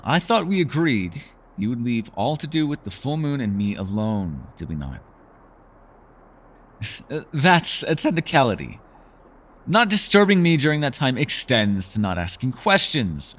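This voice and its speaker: A man voicing a reclusive, centuries-old elf in a fantasy ASMR roleplay, gentle, wry and secretive, with measured formal phrasing. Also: medium pitch, heavily acted. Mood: wry, evasive. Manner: measured, formal phrasing, hesitant and softly teasing. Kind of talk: fantasy ASMR roleplay acting, intimate one-sided dialogue.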